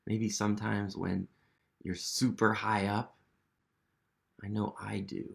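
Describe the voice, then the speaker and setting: A man reading a picture book aloud to children, gently asking them questions and sometimes dropping to a whisper. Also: on the low side, level tone, takes it slowly, vocal fry. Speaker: museum storytime reader.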